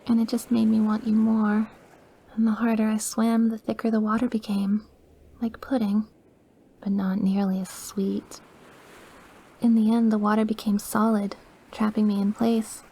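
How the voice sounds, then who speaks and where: A woman's voice: mid-range voice, flat delivery, moderate pace, dark tone, husky. A woman playing an obsessive, lovesick, dying young witch in an intimate ASMR roleplay, with a clingy, pleading manner. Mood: wistful, dreamy.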